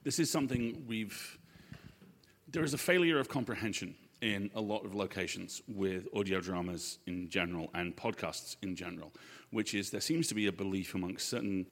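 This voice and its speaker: Low-voiced man speaking in an echoey hall. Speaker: panel guest and podcast co-host. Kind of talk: live panel discussion. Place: hall at a live convention panel. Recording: echoey hall with long reverb.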